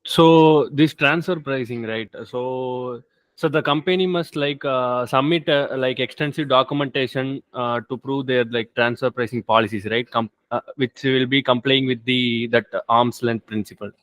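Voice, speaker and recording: A man attending a webinar, asking questions in a hesitant, halting way and seeking confirmation. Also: medium pitch, steady tone, a bit bright, no room sound. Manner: halting, seeks confirmation with "right?".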